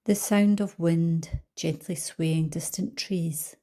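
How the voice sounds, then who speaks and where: A woman leading a guided visualisation as a coach, speaking calmly and gently with soft trailing phrases. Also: on the low side, slow. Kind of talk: guided meditation narration.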